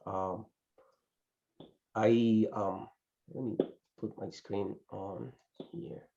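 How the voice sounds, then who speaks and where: A man in his forties from the Republic, presents his small business to a networking group from his office webcam. He speaks slowly in a mellow, relaxed voice and keeps pausing and restarting his phrases. The video call audio is dull and lo-fi. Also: on the low side. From Dominican Republic. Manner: halting, frequent restarts and pauses. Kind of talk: networking group self-introduction. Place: small indoor office in front of a company banner.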